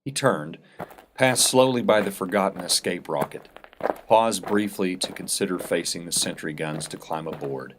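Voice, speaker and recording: A man's voice: deep voice, normal speed, noisy background. A man narrating a science fiction short story as a scripted, dramatic reading.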